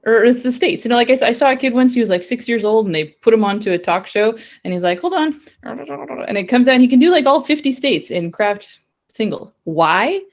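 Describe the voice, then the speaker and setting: A woman's voice: a little high, lively intonation, brisk, lo-fi sound. A woman co-hosting a casual podcast, chatty and rambling with frequent laughs. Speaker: podcast co-host. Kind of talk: casual banter.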